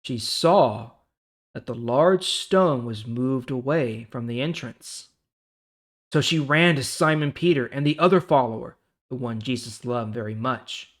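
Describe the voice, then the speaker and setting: A man hosting a Bible reading series, speaking conversationally with dramatic pauses and emphasis as he reads and comments. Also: medium pitch. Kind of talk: casual devotional reading and commentary.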